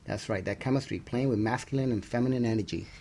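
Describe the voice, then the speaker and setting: A man's voice: medium pitch, quick pace, warm tone. A middle-aged man co-hosting a radio talk show, chatty and upbeat, affirming as he goes, with some scripted reading.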